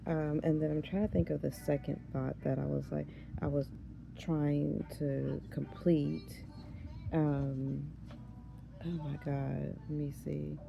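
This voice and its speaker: A woman in her thirties with a hoarse, raspy voice, chatting casually in a vlog and often losing her train of thought. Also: sits low, flat delivery, slow, lo-fi sound, background noise. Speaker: vlogger. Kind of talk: casual chat.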